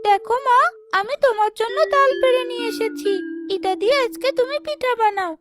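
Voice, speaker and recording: A woman's voice: extremely high pitch, brisk, loud music behind the voice. A woman voicing a meek, plaintive, mistreated young girl in a children's cartoon. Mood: pleading. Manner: plaintive, earnest.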